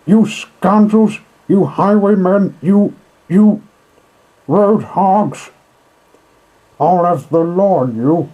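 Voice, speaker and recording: Hot-tempered male storybook rat, performed by a male narrator, indignant shouting, pitched well up, husky edge. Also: drawn-out words, long pauses, heavily acted, poor audio. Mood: furious, indignant. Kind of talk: storybook character voice.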